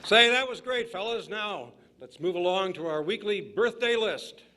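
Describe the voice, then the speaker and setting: A man's voice: high-pitched, expressive, moderate pace, crowd noise. A man playing a 1940s radio studio announcer, emphatic as he addresses his audience. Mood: hearty. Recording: noisy live recording with clicks.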